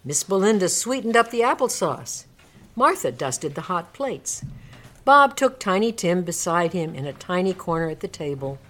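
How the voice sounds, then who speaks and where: A woman's voice: on the low side, big pitch swings, moderate pace, fairly bright tone. A woman narrator reading a classic story aloud in a dramatic scripted storybook style. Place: radio studio.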